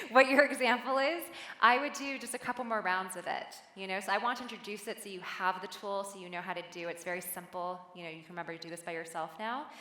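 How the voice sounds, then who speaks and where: A bright-voiced woman speaks from a stage, leading a guided meditation in a soft, unhurried way before turning chatty with the audience. Her voice carries a long reverb from the large hall. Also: mid-range voice. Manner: soft, slow guiding then lively chatty with laughs.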